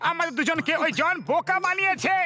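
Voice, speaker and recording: Shrill, excitable cartoon buffoon. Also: male voice, fast, soft background music, full character voice. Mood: sheepish, pleading. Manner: exclamatory, childlike. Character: plump, excitable, simple-hearted male cartoon buffoon. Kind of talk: exaggerated cartoon dub acting.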